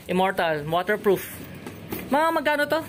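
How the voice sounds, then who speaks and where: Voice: mid-range voice, big pitch swings. A vlogger chatting casually while touring a motorcycle gear shop, often echoing what they are told. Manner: chatty, repeats and echoes answers, quick laughs. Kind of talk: casual on-camera chat. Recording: noisy shop recording.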